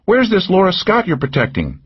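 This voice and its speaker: A man's voice: high-pitched, brisk, breathy edge, muffled recording, voice pushed up, exaggerated voice. A man putting on a brash flirty woman. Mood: teasing. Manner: brash, teasing, lively. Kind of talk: audiobook character voice.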